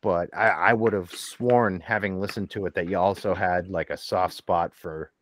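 A male podcast host from Pennsylvania talking in a measured, thoughtful way in casual chat. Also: low-pitched, fairly even intonation, slight background noise. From Pittston, Pennsylvania.

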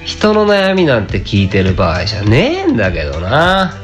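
A man's voice: on the low side, wildly swooping pitch, normal speed, soft background music. A man playing a cynical, lazy part-time fake fortune teller in a radio drama, grumbling in casual, blunt speech. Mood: jaded narration. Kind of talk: amateur radio drama acting and narration.

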